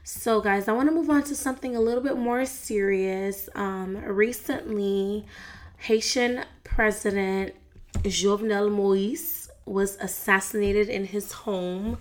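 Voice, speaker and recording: A woman's voice: medium pitch, fairly even intonation, takes it slowly. Young woman vlogger, chatty and frank, loose slangy delivery. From United States. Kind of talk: casual celebrity gossip chat.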